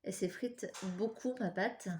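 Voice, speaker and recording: A woman's voice: a little high, moderate pace, a little mellow, a little hiss or hum. Chatty woman with a stuffy nose. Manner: chatty, apologetic, talks while working with her hands. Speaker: crafts tutorial host. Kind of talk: casual step-by-step craft tutorial.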